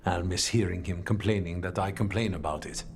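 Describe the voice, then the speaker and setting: An actor playing a dry, formal, grumbling leader of an assassin guild in a video game, deadpan and clipped. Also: male voice, low voice, brisk, a little hiss or hum, natural voice. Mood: dry, mournful. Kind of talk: video game cutscene dialogue.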